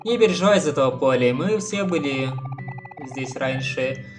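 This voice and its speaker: A man's voice: mid-range voice, animated, normal speed, far from the mic, music in the background, close to an everyday voice. A man voicing a boisterous, cocky teenage boy in a dubbed game playthrough. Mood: boastful.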